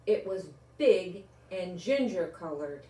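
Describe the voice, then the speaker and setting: A woman's voice: fairly low pitch, animated, slow pace, mellow. An older woman reading the part of an earnest, anxious young boy in a children's storybook. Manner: earnest, emphatic. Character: young boy anxiously searching for his lost beagle. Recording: echoey room, distant microphone.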